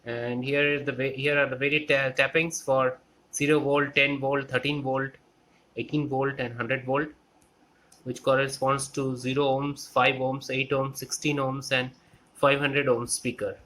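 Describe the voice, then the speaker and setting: A man giving an unscripted technical walkthrough as a hobbyist, explaining step by step in a halting way and repeating phrases. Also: mid-range voice, flat delivery, sharp tone, poor audio. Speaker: electronics hobbyist demonstrating a restored amplifier. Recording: clear background noise with clicks.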